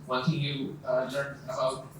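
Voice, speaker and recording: A man's voice: medium pitch, monotone, a little slow. A man moderating a live panel, talking with many fillers and restarts. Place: event venue. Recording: echoey room, far from mic, faint noise.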